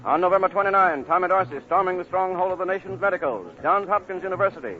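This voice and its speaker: A man's voice: sits high, fast. An American man announcing a big band broadcast on old-time radio in a polished, formal, showmanlike way. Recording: vintage radio broadcast, narrow tinny sound, loud music. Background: loud big band dance music.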